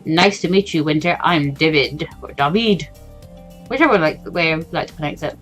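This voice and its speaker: A woman's voice: sits low, lively intonation, moderate pace, fairly bright tone, pitched below the performer's own voice. A woman voicing an easygoing, friendly, teasing working man in a visual novel, with a laid-back manner. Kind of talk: visual novel character reading. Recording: music behind the voice.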